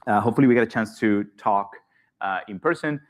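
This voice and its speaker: A man with a Spanish accent presents to a conference audience in a somewhat low voice. He talks in a loose, halting way, often restarting phrases and filling pauses. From Spain. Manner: hesitant, many fillers and restarts, light laughs. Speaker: conference speaker. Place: conference hall.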